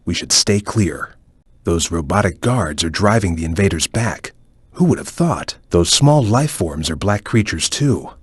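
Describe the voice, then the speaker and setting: A man playing a calm, serious ninja chameleon in a video game, speaking in a measured, stoic and focused way with a low, husky voice. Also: dry recording, exaggerated voice. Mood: serious, watchful. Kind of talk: video game voice lines, hints and tutorial instructions.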